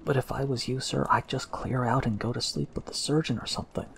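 A man's voice: medium pitch, fairly even intonation, brisk, gravelly, slight background noise, voice pushed up, lightly acted. A man voicing a nervous, servile male ship's steward who talks in a common dialect, drops his h's and calls everyone sir. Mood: pleading.

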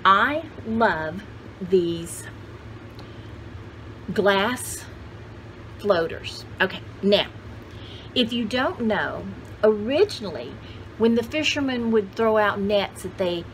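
A woman's voice: mid-range voice, expressive, takes it slowly, long pauses, a bit bright, rough recording. Folksy older woman, chatty, some background noise. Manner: folksy, jokey, rambling. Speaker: host of a vintage haul video channel. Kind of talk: casual chatty vlog. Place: indoor home studio room with shelves.

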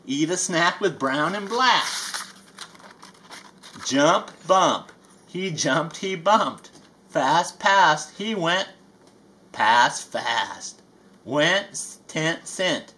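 A performer plays a playful storybook alligator with a bright, punchy voice and a folksy Southern US drawl. The reading is slow and emphatic, made for small children. There is some noise behind it. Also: medium pitch, animated, heavily acted. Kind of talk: children's picture book read-aloud.

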